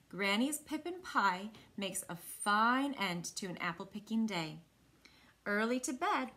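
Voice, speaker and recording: A woman in her thirties with an American accent, reading a picture book aloud to children with expressive, clearly phrased delivery. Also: fairly high pitch. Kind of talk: children's read-aloud storytelling. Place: small indoor room.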